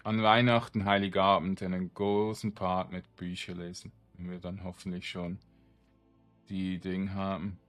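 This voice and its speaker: Deep-voiced man doing game commentary. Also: flat delivery, unhurried, fairly bright tone, faint music behind. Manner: informal, talking to himself and viewers. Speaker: gaming streamer, let's player. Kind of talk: casual game commentary with some read-aloud game text.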